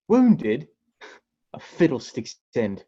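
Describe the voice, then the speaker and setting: A man's voice: mid-range voice, huge pitch swings, slow, dark tone, slightly rough, exaggerated voice. A man playing a stern, scolding country doctor. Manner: firm, scolding lecture. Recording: dull lo-fi sound.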